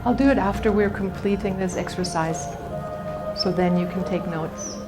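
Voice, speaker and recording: A woman's voice: mid-range voice, normal speed, loud music behind the voice. A woman teaching a motivational seminar, telling stories and addressing her listeners directly as she guides them. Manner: animated storytelling, addressing the audience directly. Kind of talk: motivational workshop talk with anecdotes and guided exercise.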